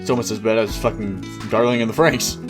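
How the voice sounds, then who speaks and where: A man's voice: lowish voice, fairly even intonation, fast, over music. A man doing a casual, mocking read-aloud with exasperated, sweary asides. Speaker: host reading a fan fiction aloud with commentary.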